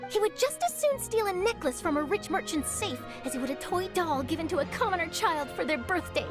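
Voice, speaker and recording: A woman playing an eager young journalist chasing a scoop, brisk, upbeat and theatrical. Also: extremely high pitch, animated. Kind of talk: video game voice acting. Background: game score music.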